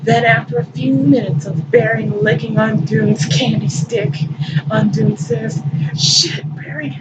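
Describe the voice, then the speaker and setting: A woman's voice: a little high, flat delivery, unhurried. A woman hosting a fan fiction show, reading aloud theatrically and commenting casually with amusement. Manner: theatrical, breaking into amused asides. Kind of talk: dramatic storytelling reading with casual asides. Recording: muffled, noisy, distant microphone.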